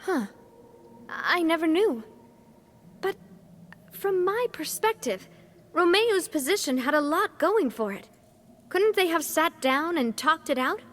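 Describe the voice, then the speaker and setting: A woman's voice: extremely high pitch, big pitch swings, slow, long pauses, a bit bright, heavily acted. An actress playing a spirited, compassionate young woman who leads a guild in a video game. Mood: curious, reflective.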